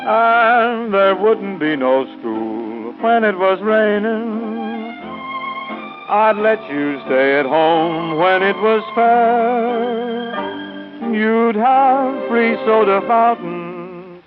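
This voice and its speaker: A man's voice: high-pitched, animated, extremely slow, over music. A man singing an old-time popular novelty song. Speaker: singer. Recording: tinny, band-limited vintage record. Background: musical accompaniment.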